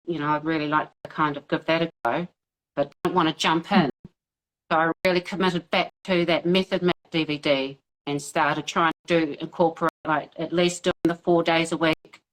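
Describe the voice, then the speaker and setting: Kiwi woman on a video call, kinda low voice, lots of ums and likes, laughs easy. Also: steady tone, moderate pace, rough recording. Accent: New Zealand. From New Zealand. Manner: hesitant, many fillers, laughs easily. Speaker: guest. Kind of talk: casual interview over a call.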